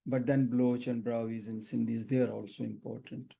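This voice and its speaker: A man talks as an interview guest. His voice sits at a medium pitch and has a strong gravelly creak to it. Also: level tone, moderate pace, mellow, lo-fi sound. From Pakistan. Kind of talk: interview discussion.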